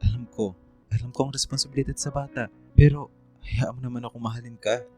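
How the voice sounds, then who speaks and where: An actor playing a lovestruck two-timing young man in a radio drama, halting and earnest as he makes excuses. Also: mid-range voice, fairly even intonation, a little mellow, no room sound, soft background music, natural voice. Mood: pleading. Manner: halting, earnest, repeats words.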